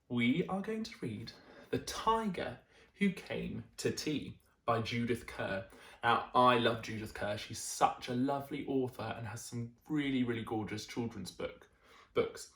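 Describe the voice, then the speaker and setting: A man's voice: medium pitch, a little slow, creaky, fairly bright tone, echoey room. A man reading a children's picture book aloud as a storyteller, with dramatic emphasis and friendly asides to his listeners.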